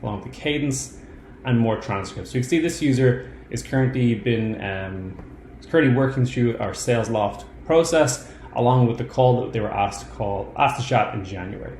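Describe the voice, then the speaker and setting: Echoey male software tutorial presenter. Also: medium pitch, moderate pace. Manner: explanatory, informal instructional delivery. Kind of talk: step-by-step screen demo narration. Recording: roomy echo, clear background noise.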